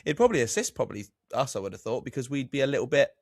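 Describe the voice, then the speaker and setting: British male podcast co-host, rapid and blunt, swears, dry close-miked sound. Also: medium pitch, very animated, vocal fry, a little mellow, no echo. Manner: quick, blunt, swears, asks back with "right?". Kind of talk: casual business chat.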